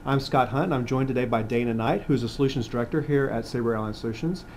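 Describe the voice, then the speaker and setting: A man's voice: medium pitch, fast, darkish tone, a little hiss or hum. A man hosting a scripted corporate interview. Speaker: host.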